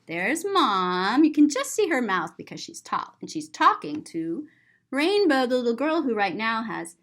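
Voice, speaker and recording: A woman reading a picture book aloud to young children, describing it in simple, repeated words with lots of expression. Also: a little high. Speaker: storyteller, teacher.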